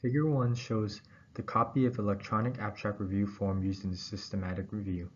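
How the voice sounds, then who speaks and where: A man reads a scripted academic presentation aloud in a formal, halting way, pausing between phrases. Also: lowish voice, fairly even intonation. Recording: dull, muffled audio with background noise.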